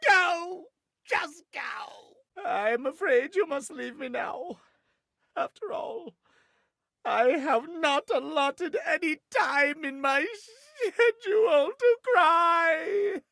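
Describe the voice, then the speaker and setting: A man's voice: very high voice, lively intonation, drawn-out words, vocal fry, bright, forceful tone, dry recording, studio quality, full character voice. An actor voicing a fussy, prim male clock obsessed with schedules, speaking formally and precisely in a theatrical, old-fashioned way for a video game. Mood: tearful. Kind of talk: video game character acting.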